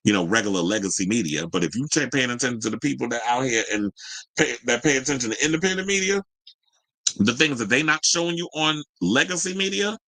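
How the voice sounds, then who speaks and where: A man's voice: mid-range voice, animated, fast talker, bright, forceful tone, rough recording. An American man hosting a news and gossip show, reading stories and adding blunt, profane, sassy asides in colloquial speech.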